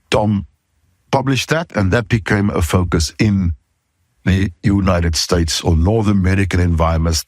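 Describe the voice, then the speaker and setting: A middle-aged or older man with a South African accent, hosting an informal podcast talk in a candid, emphatic way, pausing between short phrases. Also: lowish voice, husky, no room sound, clean studio sound. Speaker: podcast host, safety consultant.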